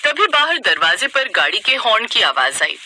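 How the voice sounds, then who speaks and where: Very bright, animated woman narrator. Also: on the high side, normal speed, slight background noise, poor audio. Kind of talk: scripted narration of a moral story.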